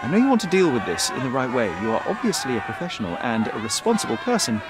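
Man playing a confident young detective, lively pitch. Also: quick pace, very noisy, lightly acted. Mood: persuasive, pointed. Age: young adult. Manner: articulate, polite but pointed. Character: confident young detective, persuasive, probing, self-assured. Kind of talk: video game cutscene acting.

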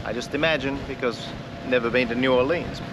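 A man in his forties talking casually to a camera outdoors, with a dry, deadpan sense of humour. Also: mid-range voice, brisk, very noisy. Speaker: vlogger on a sailing channel. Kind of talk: casual vlog chat. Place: outdoors, on a sailboat deck and around town.

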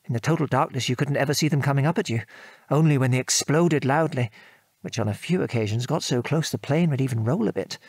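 An actor with a British accent playing a gentle middle-aged father, a former RAF man, reminiscing in an old-fashioned genteel manner in a radio play. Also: mid-range voice, fast, slightly rough, no room sound. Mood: sober, reflective. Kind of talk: radio drama dialogue, reminiscing.

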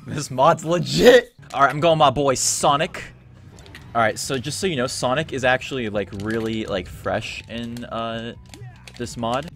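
A young man hosting a gaming video, teasing his friend with loud, laughing trash talk as they play. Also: medium pitch, unhurried. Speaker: gaming channel host. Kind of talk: casual gameplay banter. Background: game music.

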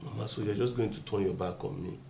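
An actor playing a hurt, protesting young married son in a film drama. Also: male voice, lowish voice, steady tone, quick pace, creaky, a little hiss or hum. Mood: hurt, indignant. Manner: questioning, protesting. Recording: loud music over the dialogue.

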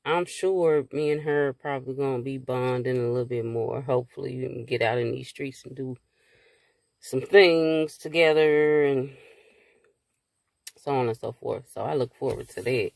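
A woman in her thirties chatting casually to her phone from inside a parked car, rambling and relaxed with many fillers. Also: a bass voice, moderate pace, vocal fry, no echo. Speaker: vlogger. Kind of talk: casual car chat to camera. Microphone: phone held at arm's length.

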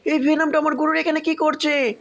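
Protective superhero, kids' cartoon, male actor: high-pitched, bold, commanding, ready to threaten. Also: little pitch movement, a little fast, muffled recording. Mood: alarmed. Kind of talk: children's superhero cartoon voice acting.

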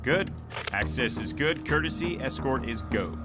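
An actor playing a middle-aged depository official in a video game, polite, clipped and businesslike. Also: male voice, animated, a little slow, natural voice. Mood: formal. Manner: clipped, formal. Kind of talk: video game cutscene acting. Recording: loud music and vehicle rumble behind.

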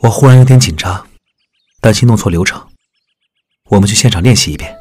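An actor playing a calm, gently teasing young bridegroom in an audiobook drama. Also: male voice, on the low side, brisk, husky, dry recording, clean studio sound. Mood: calm, tender.

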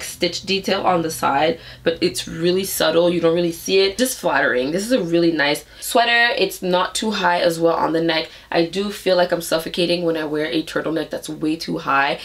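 A woman in her twenties with a stuffy nose, chatting upbeat and animated through a clothing try-on haul in her bedroom. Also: on the low side. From Canada. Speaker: fashion vlogger. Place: bedroom with clothing rack, indoors.

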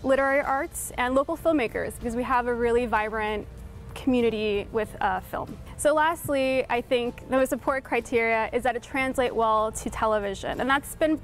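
A woman in her thirties, from Chile, answering interview questions in a studio in a friendly, relaxed, explanatory way. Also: a little high, moderate pace, soft background music. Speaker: guest curator. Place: dark TV studio.

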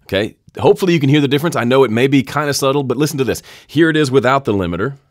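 A man in his thirties with a slightly nasal voice, explaining podcast audio steps in a friendly, even tone, recorded close on a studio mic in his home studio. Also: mid-range voice, racing, over music. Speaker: audio tutorial host. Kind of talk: screen-recorded software tutorial. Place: home studio with keyboards and guitars. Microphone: studio condenser mic close up.

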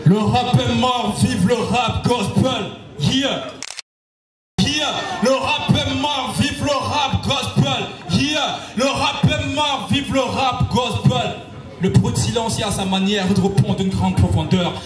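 High-voiced gospel rapper shouting live. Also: male voice, takes it slowly. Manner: shouted, rhythmic rap delivery with crowd call-outs. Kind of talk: live gospel rap performance. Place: outdoor night concert stage. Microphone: handheld mic. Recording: roomy, distant live concert audio with music. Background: backing music.

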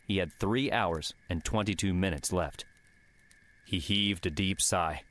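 Low voiced guy narrating an audiobook, scripted story reading.

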